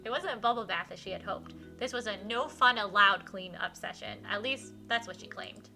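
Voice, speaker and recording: A woman's voice: medium pitch, moderate pace, sharp tone, faint music behind. A woman live streamer reading a visual novel aloud expressively and chatting casually, with enthusiastic, gushing reactions.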